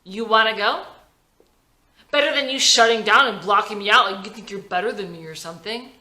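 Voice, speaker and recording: A woman playing a defiant, hot-tempered young female rock musician in a script reading, forceful and confrontational. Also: medium pitch, a little fast. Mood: angry. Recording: roomy echo.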